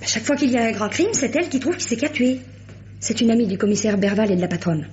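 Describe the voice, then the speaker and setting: An actress playing a bossy, gossipy saleswoman at a couture house, brisk and scolding in a 1950s radio drama. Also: fairly high pitch, expressive, darkish tone, slight background noise, lightly acted. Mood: bossy chatter. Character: bossy, chatty woman working at a couture house. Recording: dull old radio archive.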